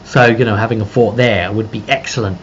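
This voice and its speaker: A man giving a casual, rambling recap as a game master, halting and circling back over his phrases. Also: on the low side, steady tone. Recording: muffled lo-fi audio with background noise.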